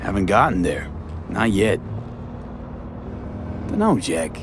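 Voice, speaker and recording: An actor voicing a cool, guarded mercenary in a video game, with an American accent and dry, terse delivery. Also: male voice, deep voice, big pitch swings, takes it slowly, noisy background, full character voice. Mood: dry, focused.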